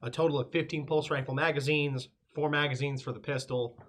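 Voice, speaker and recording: A man's voice: fairly high pitch, fairly even intonation, fairly quick, fairly bright tone. A man chatting casually as a player in a tabletop roleplaying game.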